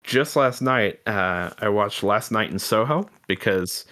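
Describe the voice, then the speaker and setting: A man's voice: lowish voice, animated, unhurried, pushed and bright. A man co-hosting a podcast, chatting about film and TV in an informal, rambling way with casual swearing. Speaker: podcast host.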